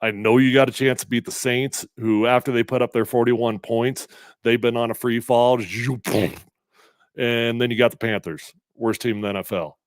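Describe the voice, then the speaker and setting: A middle-aged man hosting casual sports talk, a little congested, energetic and emphatic as he reads comments and makes predictions. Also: medium pitch, normal speed, no room sound. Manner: energetic, conversational, emphatic with fillers. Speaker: sports radio host previewing a game.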